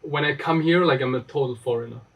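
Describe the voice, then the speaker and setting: A man's voice: medium pitch, fast talker, slightly rough, far from the mic, poor audio. A man, a guest chatting casually in an interview and rambling with fillers. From Austria. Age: 20s. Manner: relaxed, rambling, lots of fillers and restarts.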